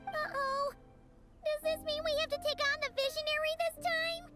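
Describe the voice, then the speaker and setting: A woman voicing a small, childlike, excitable companion sprite who scolds and exclaims, in a video game. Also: very high-pitched, moderate pace. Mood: worried. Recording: game music behind the voice.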